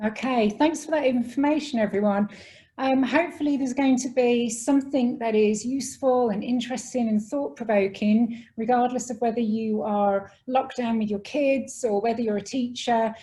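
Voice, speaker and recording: A woman from the UK with a British accent presenting a webinar on a video call, brisk and organised with a few small hesitations. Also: on the high side, steady tone, mellow. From United Kingdom. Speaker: educator and webinar co-host.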